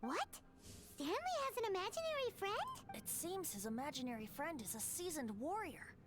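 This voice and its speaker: A woman's voice: extremely high pitch, very animated, normal speed. A woman voicing a small, curious, chirpy fairy companion in a video game. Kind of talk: video game character acting. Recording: loud game music behind. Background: game music.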